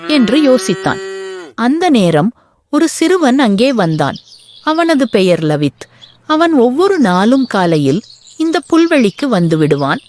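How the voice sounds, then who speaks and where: A woman narrating a children's bedtime story in a gentle, expressive storytelling manner. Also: a little high, a little slow.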